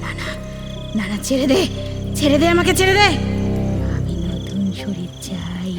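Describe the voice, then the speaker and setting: A woman's voice: mid-range voice, very animated, over loud music, close to an everyday voice. A woman playing a vengeful demon spirit in a horror audio drama, drawing out and repeating her words in anguished wails and snarls. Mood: enraged, pleading. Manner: drawn-out, repetitive, anguished wailing and snarling. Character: vengeful female village demon spirit possessing a young woman.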